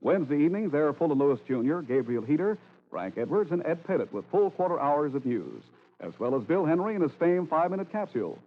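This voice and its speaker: Male American mid-century radio announcer, formal and emphatic, lively intonation, tinny phone-like sound. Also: a little high, quick pace, soft background music. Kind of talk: 1940s-50s radio announcing, scripted.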